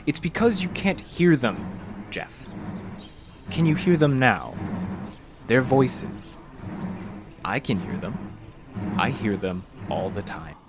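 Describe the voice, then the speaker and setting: A man playing a calmly menacing, condescending counselor in a horror audio drama, speaking deliberately and tauntingly. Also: medium pitch, noisy background. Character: sinister, calmly menacing male counselor secretly drugging his patient.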